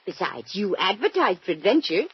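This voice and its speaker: An actress playing a spirited, eccentric older woman in a 1940s radio drama, husky, chirpy and coy, with quaint detective slang. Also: medium pitch, very animated, normal speed. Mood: scheming, insistent. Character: spirited, eccentric old woman, a mystery-novel fan playing sleuth. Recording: muffled vintage 1940s radio recording.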